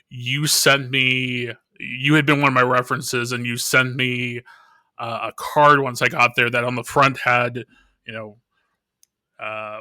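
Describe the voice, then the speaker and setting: A man hosting a casual interview podcast, relaxed, tossing out quick questions. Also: medium pitch. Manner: relaxed, tosses quick rapid-fire questions. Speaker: podcast host.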